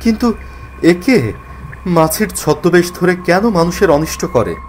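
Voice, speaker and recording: A male narrator acts out an anxious young man in a cartoon ghost story. His voice is raised high and swings widely, urgent, pleading and full of questions. Faint noise sits behind it. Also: sing-song, unhurried. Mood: anxious questioning. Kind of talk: animated horror story character acting.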